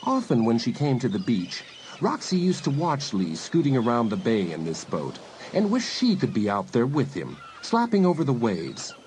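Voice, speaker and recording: A man narrating a dramatised audiobook in an expressive, scripted storytelling manner. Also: medium pitch, normal speed, a little hiss or hum.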